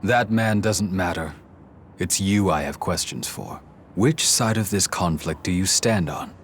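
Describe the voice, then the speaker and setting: An actor voicing a stern, guarded young warrior in a video game, speaking in a blunt, clipped way. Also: male voice, low voice, animated, unhurried, slight background noise. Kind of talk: video game cutscene voice acting. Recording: game audio with music behind.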